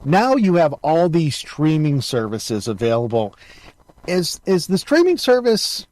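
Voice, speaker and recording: A man's voice: fairly high pitch, wildly swooping pitch, a little slow, a little mellow, dry recording. A man hosting a casual interview, circling back and rephrasing as he goes. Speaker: interview show host.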